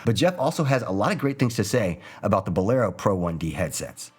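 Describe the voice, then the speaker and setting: A man's voice: fairly low pitch, expressive, a little fast, husky. A man presenting scripted promotional narration for a product video.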